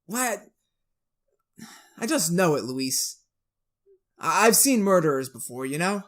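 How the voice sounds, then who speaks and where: A man's voice: high-pitched, very animated, takes it slowly, a bit bright, exaggerated voice. A man acting a world-weary, blunt defense lawyer in a retro radio drama, folksy and exasperated. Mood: subdued, evasive.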